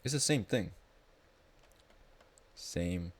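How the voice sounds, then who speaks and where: A man's voice: low-pitched, lively intonation, drawn-out words. A man tutoring math live in a casual, informal way, thinking aloud as he explains.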